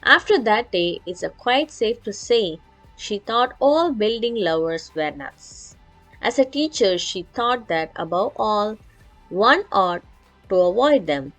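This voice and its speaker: A woman reading a children's rhyming picture book aloud in an expressive storytelling style. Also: mid-range voice, slow pace, poor audio. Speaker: story reader. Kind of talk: animated children's read-aloud. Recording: music playing behind.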